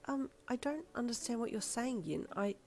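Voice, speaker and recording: Woman doing a shrill, fussing mother. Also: expressive, normal speed. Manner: soft and coaxing, turning shrill and gasping. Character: anxious, pushy middle-aged mother, easily upset.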